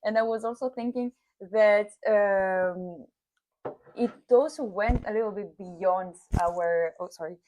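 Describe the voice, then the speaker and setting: A woman's voice: medium pitch, takes it slowly, a little mellow, slight background noise, low-quality recording. A woman hosting a business podcast interview, upbeat and affirming, often saying "mm-hmm" and "nice.". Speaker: podcast host and customer success manager.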